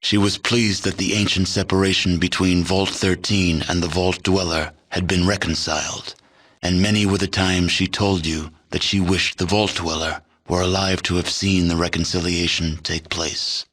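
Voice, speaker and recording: A man playing a grave, solemn narrator of a post-apocalyptic video game, telling the tale in measured, deliberate scripted narration. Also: sits low, level tone, fairly bright tone.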